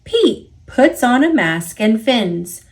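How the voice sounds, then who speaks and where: Woman, children's read-aloud, very unhurried, animated pitch, expressive storyteller. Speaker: storybook reader.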